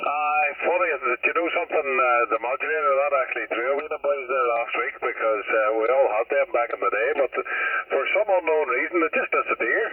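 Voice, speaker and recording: A man's voice: medium pitch, fairly quick. A man with a Northern Irish accent chatting casually over two-way radio in a folksy, rambling way. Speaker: amateur radio operator. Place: mobile in a vehicle, over CB radio. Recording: muffled far-off two-way radio transmission.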